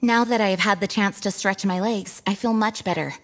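An actress playing a dutiful young female knight commander in an anime game, earnest, gracious and measured. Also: mid-range voice, fairly even intonation, close to an everyday voice. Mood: calm resolve.

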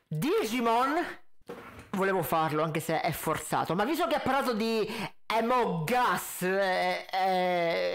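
A man, giving sarcastic, animated reaction commentary into a close desk mic. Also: high voice. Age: 20s. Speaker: reaction video host. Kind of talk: casual mocking video commentary. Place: indoor room at a desk. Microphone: close desk condenser mic with pop filter.

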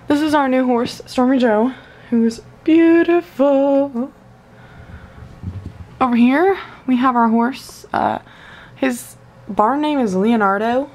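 A woman's voice: mid-range voice, a little mellow. A teenage girl casually filming a tour of her toy horse collection in her bedroom, chatty and gushing with lots of fillers. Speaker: vlogger. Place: bedroom at home. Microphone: handheld camera mic.

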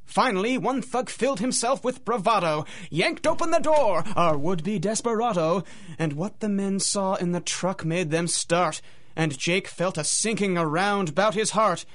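A man's voice: sits high, measured pace, a bit bright. A man narrating a radio drama in theatrical, sing-song rhyming storybook verse.

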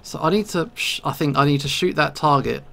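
Male let's player, slightly high pitch with strong creak, casual halting think-aloud commentary. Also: bright, forceful tone. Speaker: video game player and host.

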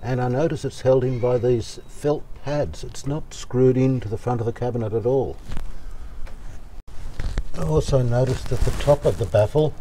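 An older man calmly talking through a restoration job outdoors, thinking aloud as he works. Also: medium pitch, a little hiss or hum, low-quality recording. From Australia. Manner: thinking aloud, calm and unhurried, plain-spoken with fillers. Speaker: radio restorer, channel host. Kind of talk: casual hands-on workshop narration. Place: outdoor verandah in the garden.

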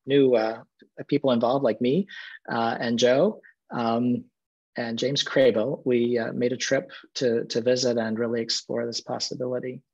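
A man's voice: mid-range voice, level tone, a little slow. Earnest middle-aged man on a muffled call. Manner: earnest, reflective storytelling. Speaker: seminary president. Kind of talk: video-call panel interview. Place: office with bookshelves. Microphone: video call. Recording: muffled video-call audio.

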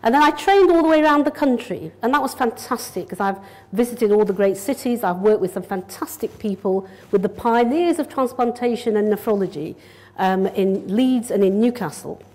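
A woman's voice: fairly high pitch, animated, normal speed, a little mellow. Direct middle-aged woman giving a lecture. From Yorkshire, England. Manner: direct, organised, conversational. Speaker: consultant nephrologist. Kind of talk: public lecture. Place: lecture room, indoors. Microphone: lectern mic.

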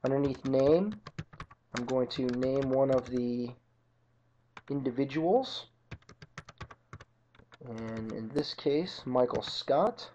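A man narrating an informal software tutorial as an instructor, explaining each step methodically. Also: mid-range voice, slow pace, long pauses, slight background noise. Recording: dull lo-fi computer screen-recording audio.

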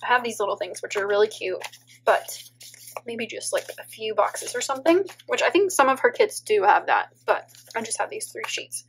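Woman, 30s, fairly high voice, stuffy nose, chatty, thinking aloud, faint hum. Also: animated, moderate pace, rough recording. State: stuffy from allergies. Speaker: planner vlogger and teacher. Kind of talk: casual plan-with-me voiceover. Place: indoor home table, overhead camera on planner.

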